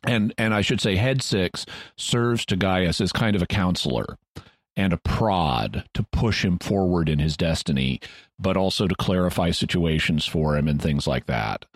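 A man's voice: low-pitched, moderate pace, no echo. A man co-hosting a podcast, carefully explaining story background in casual discussion. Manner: explanatory, detailed recaps. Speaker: podcast co-host.

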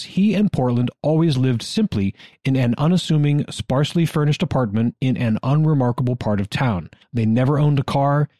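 Male podcast co-host, American, mid-pitched, mellow and close-sounding, delivering scripted historical narration. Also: no room sound.

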